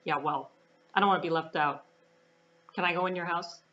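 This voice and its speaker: A woman's voice: fairly low pitch, brisk, a bit bright, highs cut off, lightly acted. A woman voicing a nosy duck in a children's puppet story, wheedling and hesitant, not wanting to be left out. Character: nosy duck who hates being left out.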